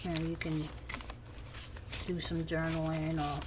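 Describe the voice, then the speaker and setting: A woman's voice: low voice, level tone, measured pace. Woman crafter, scratchy voice, chatty page-by-page walkthrough, recorded on camera at a home table. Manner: chatty, describes each page as she flips. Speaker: crafter showing a handmade journal. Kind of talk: casual show-and-tell.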